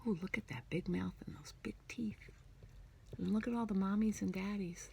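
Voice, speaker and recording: A woman reading a picture book aloud to young children in a theatrical, singsong manner, dropping into hushed whispers. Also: mid-range voice, steady tone, moderate pace, vocal fry, background noise.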